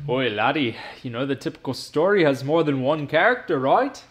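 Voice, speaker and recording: A man's voice: on the high side, lively intonation, normal speed, lightly acted. A young man playing a scolding, mocking friend with a needling, exclamatory manner. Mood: nagging. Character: scolding, mocking male friend barging in with "laddie".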